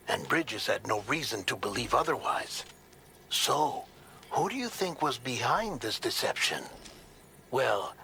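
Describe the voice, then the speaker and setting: An actor playing an earnest investigator in a video game cutscene, explaining a conspiracy in a deliberate, dramatic way. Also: male voice, medium pitch, vocal fry, sharp tone, a little hiss or hum, exaggerated voice. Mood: knowing, revealing. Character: earnest male investigator briefing a colleague, revealing a conspiracy. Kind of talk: scripted game cutscene exposition.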